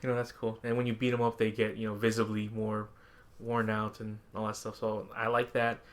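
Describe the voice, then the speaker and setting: A man podcast host chatting casually about video games and anime, animated and swearing freely, often acting out his own reactions. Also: fairly low pitch, brisk. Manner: animated, profane, frequently quoting himself.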